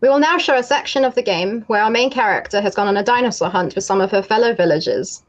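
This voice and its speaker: A young woman from Namibia, a game developer, explaining her indie game in an upbeat, clear way. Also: mid-range voice, fast.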